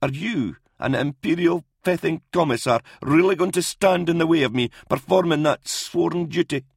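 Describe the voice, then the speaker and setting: A man's voice: a little high, moderate pace, gravelly, husky, no echo, studio quality, voice pushed up. A man voicing a frail but stubborn and dignified old regimental doctor in an audiobook, speaking earnestly and insistently. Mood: solemn, resolute. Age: older. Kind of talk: audiobook character voice in military science fiction.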